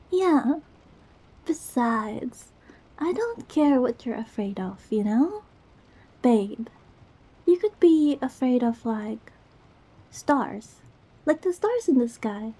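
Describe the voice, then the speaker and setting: A woman acts out a sweet, goofy young girlfriend in an intimate ASMR roleplay. Her voice is high and breathy with a very mellow tone. She talks slowly, rambling playfully as she reassures her partner with tender affection. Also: full character voice. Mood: tender, reassuring.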